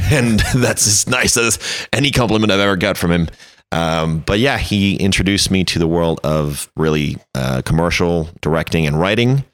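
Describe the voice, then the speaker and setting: A man's voice: deep voice, moderate pace, fairly bright tone, no echo, clean studio sound. A man, chatting as an interview guest in a wordy, self-deprecating, anecdotal way. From France. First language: French. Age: 30s. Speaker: interview guest, creative director. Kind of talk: casual conversational interview.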